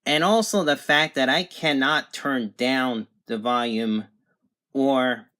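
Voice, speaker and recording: A person giving a casual product review and demonstration in a halting, hesitant way. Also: mid-range voice, fairly bright tone, no echo, clean studio sound. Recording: clear background noise, amateur home recording.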